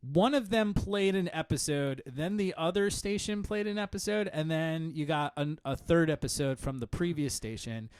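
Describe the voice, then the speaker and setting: A man in his thirties hosts a casual podcast from a home studio. His voice sits a little high, and he rambles with plenty of fillers and self-deprecating asides. The sound is close and dry, with no room echo. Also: animated, moderate pace, few pauses, darkish tone, clean tone. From Connecticut. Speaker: podcast host. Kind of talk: casual chat.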